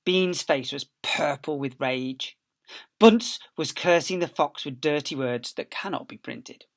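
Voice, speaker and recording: A man's voice: on the high side, unhurried, creaky. A man, an infant school teacher, reading a story expressively to young children.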